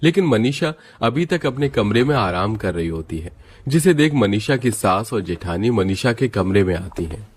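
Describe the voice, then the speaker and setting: A man's voice: lowish voice, very animated, moderate pace. A man narrating a scripted family moral story.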